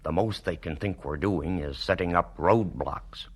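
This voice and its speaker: An actor playing a cool, shrewd investigator in a 1970s radio drama, with a clipped, businesslike, authoritative manner. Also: male voice, deep voice, a little slow. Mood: brisk, commanding.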